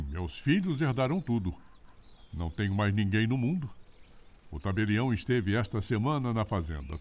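Greedy, self-satisfied Brazilian squire, fifties, animated old-fashioned speech, radio drama. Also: male voice, fairly low pitch. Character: boastful, greedy fifty-year-old male landowner, self-satisfied bridegroom. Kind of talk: radio soap opera acting.

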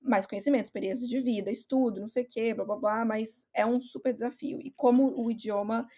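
A woman's voice: on the high side, steady tone, a little fast, dark tone. A Brazilian woman with a Brazilian Portuguese accent, hosting a casual chat and steering the questions. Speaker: host.

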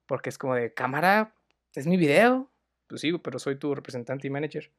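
A man's voice: mid-range voice, animated, brisk. Slangy Mexican man, casual chat. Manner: slangy, says "güey" a lot, drops to a mutter. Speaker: podcast co-host. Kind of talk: casual chat reviewing a TV series.

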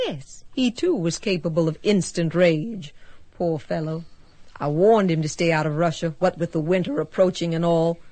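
A woman's voice: on the low side, normal speed, mellow, dry recording, natural voice. An actress playing an enigmatic, composed female space traveler with an arch, knowing, polite manner in a radio drama. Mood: amused, gracious.

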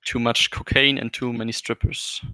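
A man's voice: mid-range voice, level tone, normal speed, bright, forceful tone, no room sound. A man from Germany bantering casually as a podcast co-host, protesting and swearing.

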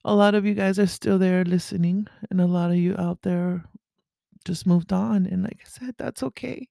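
A woman with a raspy voice speaking haltingly and earnestly in a confessional, faith-based podcast monologue. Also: lowish voice, fairly even intonation, dark tone, no echo, studio quality. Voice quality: raspy early-morning voice. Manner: halting, earnest, with throat clearing. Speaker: podcast host.

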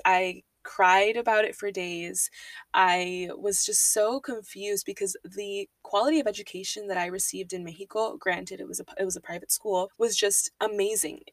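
A woman's voice: medium pitch, no room sound, recorded from a distance. Young woman telling her story, mic set back. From Texas, US. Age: 20s. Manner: candid, emotional, fillers and restarts. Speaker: podcast host. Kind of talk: personal storytelling monologue.

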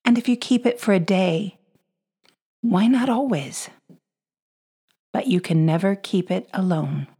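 A woman's voice: medium pitch, measured pace, long pauses, mellow, breathy edge. A woman giving a scripted reading of an inspirational essay as a guest reader.

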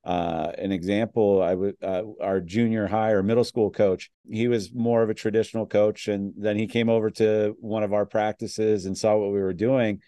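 A man's voice: lowish voice, level tone, normal speed, few pauses, dark tone, no echo. A man hosting a coaching podcast in a conversational way, with frequent fillers. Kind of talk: casual podcast interview.

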